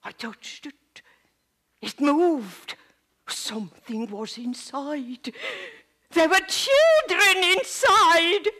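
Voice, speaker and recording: A woman's voice: on the high side, drawn-out words, slightly rough, full character voice. A woman playing an old, sick woman in a poorhouse, telling her memories in a theatrical one-woman monologue. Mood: dramatic. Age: older. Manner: dramatic storyteller, gasps and drops to whispers.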